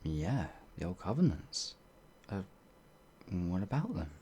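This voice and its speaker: An actor playing a bewildered, humble werewolf outlaw in an ASMR fantasy roleplay, with halting, old-fashioned speech and a husky voice. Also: low-pitched, big pitch swings, mellow, a little hiss or hum, heavily acted. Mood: earnest reminiscing. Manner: halting, flustered, pleading, old-fashioned wording.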